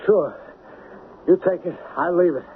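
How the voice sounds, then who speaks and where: Actor as high-voiced, jittery small-time hustler. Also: male voice, unhurried, phone-quality sound, slight background noise, lightly acted. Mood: frantic, pleading. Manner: agitated, stammering. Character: nervous small-time male hustler, pleading and weak-willed. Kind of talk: 1970s radio drama acting and narration. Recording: thin old radio recording with music.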